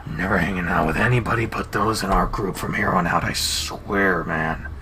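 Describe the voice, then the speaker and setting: A man voicing a large, blunt, crude male wolf who talks in a gruff mumble. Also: deep voice, steady tone, normal speed, noisy background, voice pushed down, close to an everyday voice. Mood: low, hushed.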